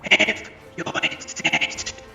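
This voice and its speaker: A man voicing a surly, scornful reptilian sea creature commander for a fan audio drama, slow and menacing with clipped replies. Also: sharp tone, heavily acted. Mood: weary impatience. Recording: distant, echoing, with music behind.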